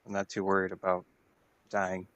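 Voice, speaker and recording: A man's voice: low-pitched, monotone, normal speed, a bit bright, no room sound, highs cut off. A man talking casually as a player in a tabletop role-playing game. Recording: very noisy mic with clicks.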